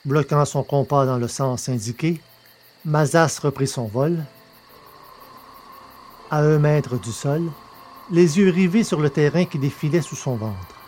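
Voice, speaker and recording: A man's voice: fairly high pitch, measured pace, long pauses, darkish tone. A man reading scripted narration as the narrator and presenter of an audio drama podcast. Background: music.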